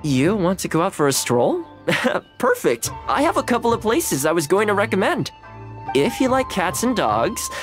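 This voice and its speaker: An actor playing a friendly young man in an anime video game, cheerful, polite and laid-back. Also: sits high. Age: young adult. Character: friendly, easygoing young male anime housekeeper. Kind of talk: scripted video game dialogue.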